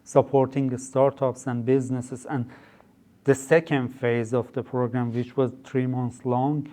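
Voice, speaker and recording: A man's voice: medium pitch, little pitch movement, unhurried, mellow. A young man with a Persian accent, a podcast guest who explains things in a conversational way, with many fillers and restarts. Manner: hesitant, many fillers, rephrases mid-sentence. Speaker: guest, startup founder. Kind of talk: conversational interview.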